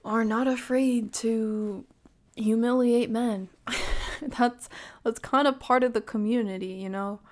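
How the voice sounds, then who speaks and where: A woman streamer giving casual reaction commentary, measured and hedging as she thinks aloud. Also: medium pitch, darkish tone.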